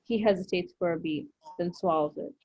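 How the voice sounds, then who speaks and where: A woman's voice: fairly low pitch, fairly quick, warm tone, breathy edge, dry recording. A woman reading stage directions as scripted narration at a drama table read. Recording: dry, muffled lo-fi audio.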